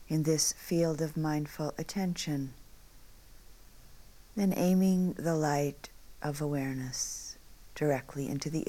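A woman meditation teacher leading a guided meditation in short, unhurried phrases with long pauses, often hushed at the ends. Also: low voice.

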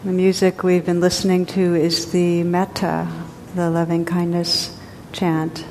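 Woman guiding meditation, low even voice, real slow, echoey hall w/ faint rumble. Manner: slow, gentle, spacious pauses. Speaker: meditation teacher. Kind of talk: guided meditation.

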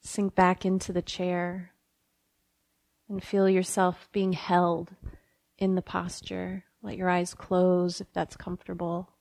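A woman leading a guided meditation as a teacher, speaking gently and unhurriedly with pauses. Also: lowish voice, completely flat delivery, vocal fry, no room sound.

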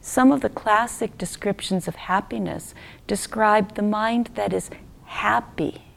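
A woman leading a guided meditation as a teacher, speaking gently in short unhurried phrases that trail off softly into near-whisper. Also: mid-range voice, gravelly.

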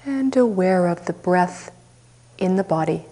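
A woman teacher leading a guided meditation, speaking softly and unhurriedly with long pauses. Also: on the low side, animated, poor audio.

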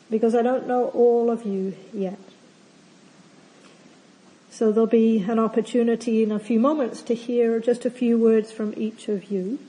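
Calm woman meditation teacher, mellow voice. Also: on the high side, low-quality recording. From not from Australia. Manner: calm, gentle, explanatory. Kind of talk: retreat opening talk. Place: retreat centre hall.

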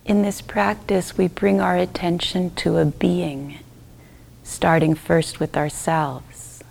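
Slow, creaky-voiced woman guiding meditation. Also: fairly low pitch, steady tone. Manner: gentle, unhurried, with long pauses between short phrases. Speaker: meditation teacher leading a group. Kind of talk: guided loving-kindness meditation.

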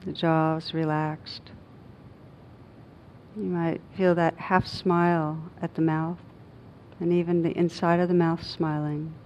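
A woman guiding a meditation as a teacher, speaking gently and unhurriedly in short phrases with long pauses. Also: flat delivery. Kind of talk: guided meditation. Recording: dull muffled recording with faint rumbling noise.

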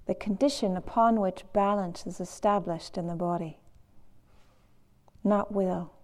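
A woman teaching a guided meditation in a measured, gentle manner with long pauses between phrases. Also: mid-range voice, fairly even intonation.

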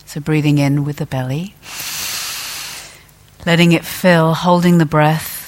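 A woman teacher leading a guided meditation in a gentle, deliberate way, leaving long pauses between phrases. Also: sits low, steady tone, rough recording.

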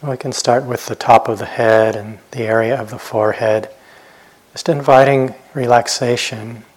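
A man guiding a meditation as a teacher, speaking gently in short, unhurried phrases with long pauses. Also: on the low side. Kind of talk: guided meditation instruction.

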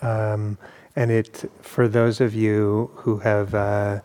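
A man meditation teacher welcoming a retreat in a meditation hall, halting and self-deprecating, with many pauses and fillers. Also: fairly low pitch, little pitch movement, dark tone.

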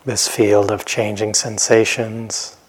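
A man with a low, husky voice leads a guided meditation. He speaks very slowly and gently, leaving long pauses between short phrases as a teacher would. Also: level tone, poor audio.

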